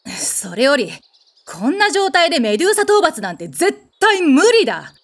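A woman playing a haughty, short-tempered goddess in a comedy audio drama, brusque and imperious in rough phrasing. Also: high-pitched, sing-song, moderate pace. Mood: furious, bossy.

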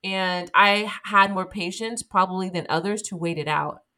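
A woman's voice: fairly low pitch, normal speed, bright, forceful tone. A woman guest talking in a candid, emphatic way in a casual interview, swearing freely.

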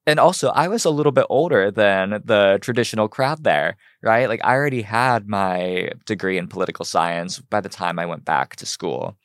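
A young American man speaks in a low, smooth, resonant voice. He tells his story in an earnest, measured way. The sound is dry and close. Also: dry recording. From United States (Ohio). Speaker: guest, fashion designer. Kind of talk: podcast interview.

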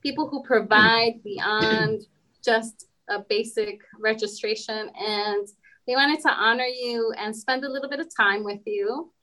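A woman's voice: on the high side, steady tone, measured pace, pushed and bright, rough recording. A woman interviewer welcoming guests enthusiastically and asking them questions. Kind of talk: warm podcast interview.

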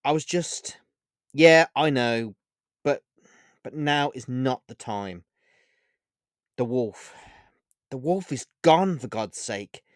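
A man voicing a distraught adult female werewolf who talks in an earnest, halting, pleading way. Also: mid-range voice, animated, no room sound, studio quality, voice pushed up, close to an everyday voice. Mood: annoyed. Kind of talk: storybook character voice.

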